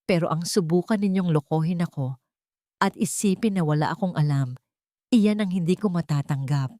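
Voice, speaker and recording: A woman narrator voicing a hurt, dignified bride in an audio storybook, firm, measured and accusing. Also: fairly low pitch, warm tone, dry recording, clean studio sound, close to an everyday voice. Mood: cold anger.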